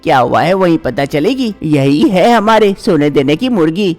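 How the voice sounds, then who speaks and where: Woman acting old mother-in-law, money-minded, fussing, lowered pitch, cartoon moral story. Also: expressive, moderate pace, darkish tone, soft background music. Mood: alarmed.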